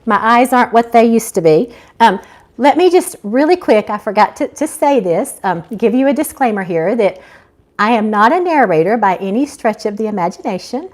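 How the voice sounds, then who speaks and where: A middle-aged woman giving a live, informal reading of her own fiction, expressive and unpolished, as an amateur. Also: on the high side, moderate pace. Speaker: author reading own novel aloud. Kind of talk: live amateur audiobook reading with casual asides.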